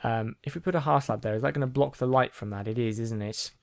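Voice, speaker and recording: A man's voice: mid-range voice, quick pace, vocal fry, dry recording. A man hosting a gaming video, chatting casually as he builds, rambling with ums and restarts. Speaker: gaming channel host. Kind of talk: casual gameplay commentary.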